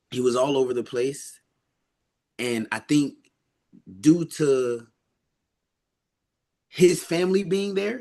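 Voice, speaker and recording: A man being interviewed as an amateur boxer, talking casually and reflectively in an earnest, emphatic way. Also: medium pitch.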